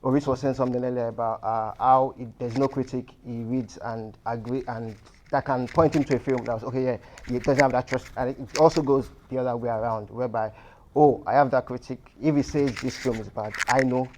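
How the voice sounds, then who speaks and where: A man's voice: medium pitch, steady tone, fairly quick, darkish tone, a little hiss or hum, low-quality recording. A man, a film critic speaking on a panel, earnest and repeating himself as he restarts phrases. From Nigeria. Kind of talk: panel discussion. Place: cinema hall.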